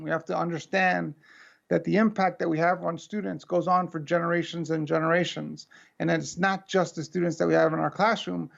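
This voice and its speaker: A man's voice: sits high, vocal fry. An American man giving an earnest, conversational keynote talk over a video call, with muffled, lo-fi call audio. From United States. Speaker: keynote speaker.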